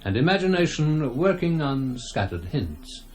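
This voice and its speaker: A man playing a reflective literary narrator in a radio drama, setting the scene in measured, old-fashioned formal language. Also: fairly high pitch, big pitch swings, soft background music. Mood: musing. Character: musing literary storyteller setting a fireside scene.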